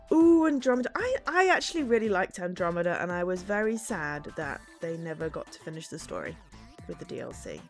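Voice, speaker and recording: Hoarse, lively woman streamer. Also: on the low side, brisk, faint music behind. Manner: chatty and bubbly, laughing often. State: hoarse from a sore throat. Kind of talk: casual game-stream chat.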